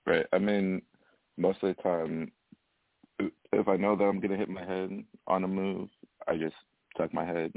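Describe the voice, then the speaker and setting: A young man from Alaska, a wrestling guest, answering questions in a soft-spoken, hesitant way with easy laughs. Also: deep voice, level tone, moderate pace, vocal fry, long pauses, no echo. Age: young adult. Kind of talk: casual interview over phone. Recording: tinny phone line.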